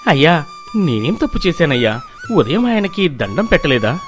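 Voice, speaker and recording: A timid village barber from a storybook, voiced by a man. He speaks humbly and anxiously in a highish, expressive voice, with music softly behind. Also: normal speed. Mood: fearful. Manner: deferential, anxious. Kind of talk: animated storybook dialogue.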